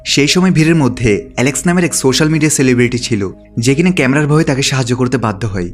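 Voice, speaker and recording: A man's voice: medium pitch, quick pace, faint music behind. A man narrating a film's story from a script, as a recap narrator. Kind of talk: scripted narration of a film plot.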